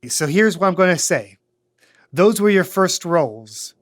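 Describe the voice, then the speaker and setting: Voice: medium pitch, expressive, unhurried. A game master narrating and refereeing a tabletop role-playing podcast.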